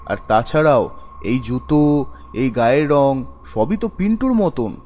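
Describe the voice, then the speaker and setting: A man's voice: on the high side, a little mellow. A man voicing an earnest, worried villager in a cartoon ghost story, explaining things insistently.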